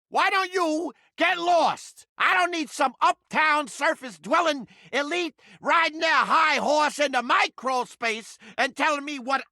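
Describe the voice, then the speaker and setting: A man's voice: very high-pitched, sharp tone, dry recording, clean studio sound, full character voice. A man voicing a foul-mouthed, touchy, lovelorn mouse trap come to life in a video game, with brash, streetwise, slangy griping. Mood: indignant, hostile. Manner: brash streetwise slangy griping, swinging between insults and bashfulness. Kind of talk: cartoonish video game character acting.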